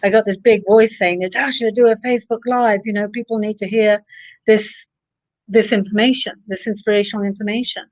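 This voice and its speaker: A woman's voice: mid-range voice, steady tone, brisk, no echo. A woman coaching a guest in a live video chat, encouraging and practical, fond of old sayings. Her voice comes through dry and a little dull, with no room around it. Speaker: psychic coach and live show host.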